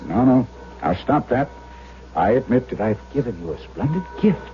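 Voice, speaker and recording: An actor playing a kindly, earnest older German doctor in a 1970s radio drama, speaking in a deliberate, fatherly, storytelling manner. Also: mid-range voice, lively intonation, lightly acted. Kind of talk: 1970s radio drama acting, first-person narration. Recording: muffled vintage radio broadcast, music underneath.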